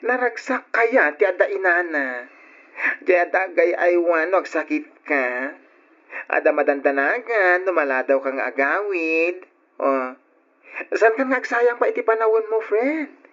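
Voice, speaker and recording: A caring young friend coaxes and chides in a chatty, exclamatory way. The old radio drama recording is dull and lo-fi. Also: female voice, on the low side, animated, measured pace, a bit bright. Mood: wistful, advising. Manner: exclamatory, coaxing.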